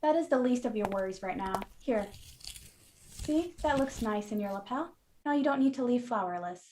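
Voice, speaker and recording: High-voiced, friendly florist played by a woman. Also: lively intonation, normal speed, darkish tone, a little hiss or hum, lightly acted. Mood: sympathetic. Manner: casual, wry, sympathetic. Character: friendly, flirtatious woman florist running family shop. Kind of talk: radio play acting.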